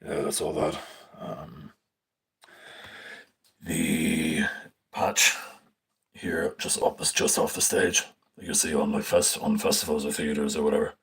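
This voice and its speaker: A young man with a very deep voice, casually walking through a stage plot on screen, rambling with fillers and casual swearing. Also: level tone, a little slow, gravelly, fairly bright tone, breathy edge. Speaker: stage tech student. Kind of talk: casual live screen walkthrough. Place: indoors at a screen. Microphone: phone filming a screen.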